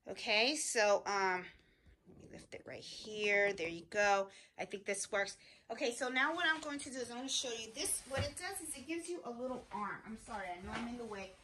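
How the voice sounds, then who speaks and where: A woman's voice: fairly high pitch, brisk, bright, forceful tone, a little hiss or hum. Middle-aged woman, casual how-to host, talks her way through each step, recorded in a roomy room. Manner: chatty, thinking aloud while working. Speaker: sewing channel host. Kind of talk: casual embroidery tutorial. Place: home sewing room. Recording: roomy home sewing room.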